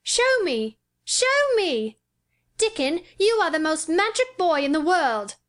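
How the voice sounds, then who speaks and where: Woman doing an eager, excitable young boy. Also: very high-pitched, slow, voice pushed up, full character voice. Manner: breathless, eager and pleading.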